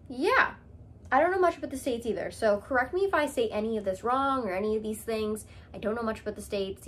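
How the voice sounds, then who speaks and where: Young canadian girl vlogging, kinda high voice, lots of um and like. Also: a little hiss or hum. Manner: chatty, with many "um"s and "like"s. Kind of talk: casual chat to camera.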